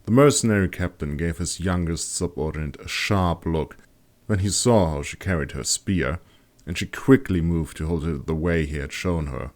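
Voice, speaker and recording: A man's voice: deep voice, moderate pace, a little mellow. A man reading a scripted fantasy story as an audiobook narrator. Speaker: author narrating own audiobook.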